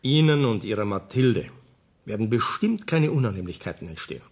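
Radio play: calculating police commissioner, formal and persuasive, husky voice with wide melodic swings. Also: male voice, fairly low pitch, very animated, a little fast, exaggerated voice. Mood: coolly persuasive. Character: calculating male police commissioner bargaining with an informant.

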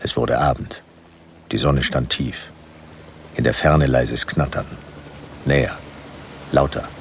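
An actor playing a laconic hardboiled detective who narrates a radio play in a dry, clipped, gravelly delivery. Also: male voice, rumbling low, takes it slowly, slight background noise, heavily acted. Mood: dry, suspenseful.

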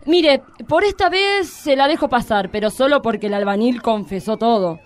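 Stern female judge, played by a woman, measured, faint background noise, radio play. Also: on the high side, animated, close to an everyday voice.

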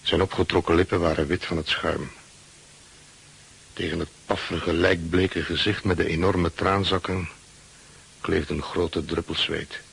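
An actor playing a seafaring man who narrates grim memories in a measured, sombre radio play style. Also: sits low, fairly even intonation, vocal fry, fairly bright tone, slightly rough, exaggerated voice, low-quality recording.

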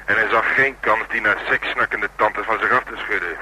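An actor playing a gleefully morbid murder-squad detective in a Dutch radio drama, telling things with drawn-out, sardonic relish. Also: male voice, fairly low pitch, fairly even intonation, bright, forceful tone, slightly rough, heavily acted, poor audio.

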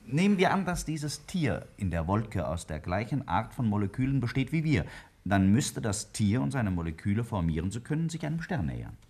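A man's voice: fairly low pitch, sing-song, brisk, a little mellow, slightly rough, full character voice. A man acting a self-assured scientist in a 1950s German radio play, arguing didactically and emphatically with slight irony. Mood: pointed, ironic. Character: Kingsley, self-assured male scientist arguing a bold theory.

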